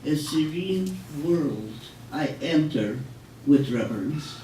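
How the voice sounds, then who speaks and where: An older woman reading her poetry aloud in a measured, solemn way with deliberate pauses. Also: sits low, expressive, dark tone. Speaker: poet. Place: public library room, live reading. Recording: echoey room, distant mic, noisy.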